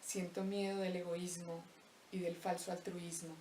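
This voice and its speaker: A young woman from Colombia with a Paisa accent, slowly reciting a reflective spoken-word poem with deliberate pauses. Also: fairly low pitch, level tone, warm tone, a little hiss or hum. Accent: Paisa Colombian Spanish. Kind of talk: spoken-word poetry monologue. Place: empty abandoned house. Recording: roomy echo, voice distant from mic.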